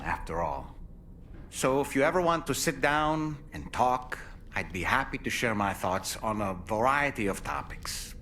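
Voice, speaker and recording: A courteous, curious game companion. His mid-pitched voice moves expressively up and down, phrasing things formally with a wry touch, over faint ambient noise. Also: fairly bright tone. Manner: courteous, articulate, slightly formal. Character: polite, curious, wryly genial male companion.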